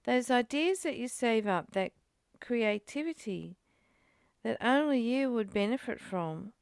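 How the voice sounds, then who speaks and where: A woman's voice: fairly high pitch, expressive, measured pace, vocal fry, clean tone, dry recording, clean studio sound. A woman reading aloud and reflecting in casual discussion, in a musing, questioning and conversational manner. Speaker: study group co-host, reader.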